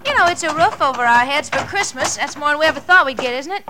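An actress playing a fussy, affectionate and anxious housewife in a 1940s radio drama style. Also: female voice, high-pitched, lively intonation, fast talker, over music. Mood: busy, coaxing. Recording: noisy vintage radio recording with clicks.

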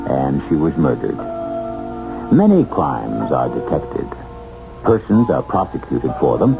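Male mystery radio host: theatrical, deliberate, creaky bass delivery, muffled old broadcast sound. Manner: solemn, deliberate, theatrical phrasing. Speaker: radio drama host and narrator. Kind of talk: 1970s dramatic radio hosting, scripted narration. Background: music.

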